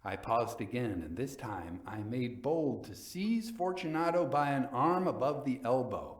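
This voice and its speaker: A man reading literary fiction as a dramatic storyteller, in a measured, theatrical manner. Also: mid-range voice, lively intonation, reverberant.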